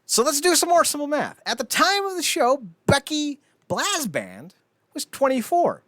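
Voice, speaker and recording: A man's voice: very high voice, wildly swooping pitch, normal speed, a bit bright, no echo. A man hosting a podcast with casual, comedic chat, riffing and swearing freely. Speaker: podcast host.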